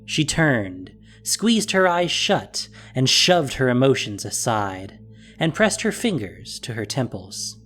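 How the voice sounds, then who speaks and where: A man reading scripted story narration as the narrator of an audio drama. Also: medium pitch. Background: music.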